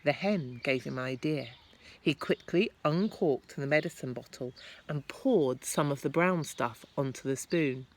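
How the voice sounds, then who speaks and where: A woman's voice: sits low, a little slow. A woman reads a storybook to children expressively, adding chatty asides and questions to young listeners. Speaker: primary school staff member reading to children. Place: at home, apparently outdoors in garden. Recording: clear background noise, amateur home recording.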